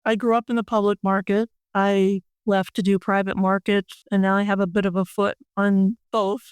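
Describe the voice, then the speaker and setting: An older woman with a very clear, smooth voice speaks as an expert guest in an interview. Her pitch stays fairly even as she explains things in measured, plain-spoken terms. The sound is dry and close. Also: no room sound. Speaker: industry expert guest and board member. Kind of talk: conversational interview answers.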